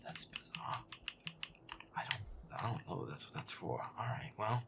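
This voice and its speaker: Casting: male actor as grumbling, sweary werewolf boyfriend for gameplay roleplay audio; slow, whispery, creaky delivery. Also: on the low side, animated, very noisy. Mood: tense muttering. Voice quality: breathy, whispery, gravelly. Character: feisty, foul-mouthed werewolf boyfriend, easily spooked, grumbling. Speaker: video game player.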